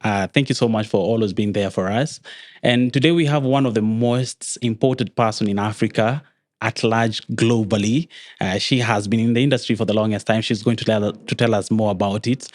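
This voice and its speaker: A man hosting an interview, giving a measured introduction and asking short follow-up questions. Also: mid-range voice. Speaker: podcast host.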